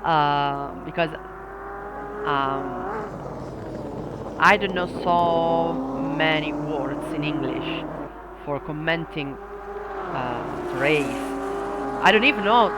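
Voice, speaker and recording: A man with an Italian accent chats slowly with his stream viewers in a somewhat high voice, searching for English words. He is self-deprecating and full of ums and restarts. Loud racing-game engines roar under his voice throughout. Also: heavy background noise. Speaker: sim racing commentator. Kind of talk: casual livestream chat, answering viewer comments. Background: sim race car engines.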